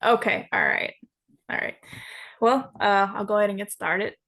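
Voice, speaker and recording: A young woman who grew up in Mississippi, a graduate student opening a conference presentation in a measured way with small hesitations. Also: mid-range voice, vocal fry, a bit bright. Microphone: video call.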